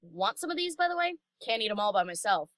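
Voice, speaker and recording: A woman's voice: mid-range voice, very animated, fast talker, fairly bright tone, dry recording, studio quality. A woman voicing a brash, casual young female DJ pony in an audiobook, with an informal, slangy, blunt manner. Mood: casual, chummy. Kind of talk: audiobook character acting.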